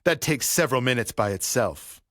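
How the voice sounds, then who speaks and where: An actor playing a vengeful graying barber in an audio play, speaking with servile politeness and cool menace. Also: male voice, medium pitch, animated, fairly quick, background noise, close to an everyday voice. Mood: coolly menacing.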